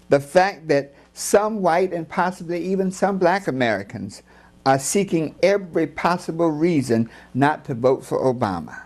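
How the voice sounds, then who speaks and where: A man reading his own book as scripted narration, in a grave, deliberate and emphatic oratorical manner. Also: a little high, darkish tone.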